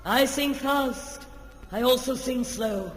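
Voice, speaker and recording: A woman's voice: sits high, slow pace, noisy background. An actor playing a coy, gushing married woman in old-time radio comedy, theatrical and fluttery. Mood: entranced. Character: coy, gushing married lady volunteering at a hypnotism stage act. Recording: echoey hall, old radio recording.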